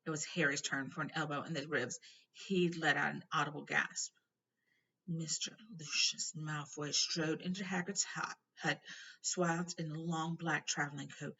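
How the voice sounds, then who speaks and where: A woman reading a storybook aloud in an expressive narrating style. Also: deep voice, gravelly. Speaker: storybook reader. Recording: noisy, dull home recording.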